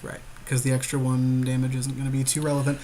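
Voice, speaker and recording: A man's voice: mid-range voice, monotone, quick pace, mellow, a little hiss or hum. A man co-hosting a podcast, casually weighing options with a partner during a card game. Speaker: podcast co-host. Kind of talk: casual strategy chat while playing a card game.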